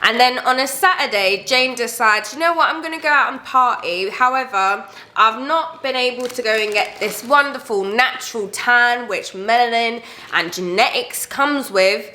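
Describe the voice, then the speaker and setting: Bright, high-voiced emphatic woman. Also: moderate pace. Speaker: panelist. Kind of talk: animated group debate.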